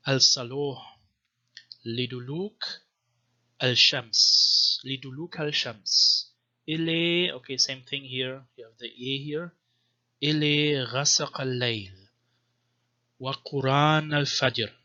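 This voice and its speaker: A man giving an explanatory language lesson, reading text samples aloud in a careful, hesitant, word-by-word manner with pauses. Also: mid-range voice, bright, forceful tone, lo-fi sound. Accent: non-native Arabic accent when reading Arabic. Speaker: language teacher, presenter of a video series.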